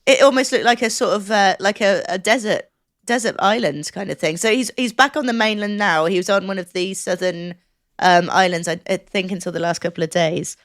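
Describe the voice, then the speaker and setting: A woman's voice: mid-range voice, brisk, a bit bright, dry recording. Woman chatting casually, close dry sound. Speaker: podcast co-host. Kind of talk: casual podcast chat.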